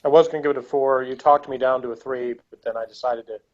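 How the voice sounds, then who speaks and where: A man's voice: mid-range voice, fairly even intonation, fast talker, highs cut off. A man chatting casually and unscripted as a reviewer, with a wry, joking manner. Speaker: TV episode reviewer.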